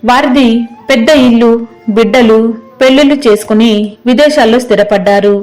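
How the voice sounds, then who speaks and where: A woman's voice: a little high, a little fast, a little mellow, rough recording. A woman narrating a scripted moral story as a storyteller.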